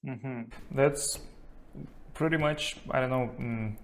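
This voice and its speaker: Slow, halting male interviewer. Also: mid-range voice. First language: not English. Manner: slow, searching for words, many fillers. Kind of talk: interview questions.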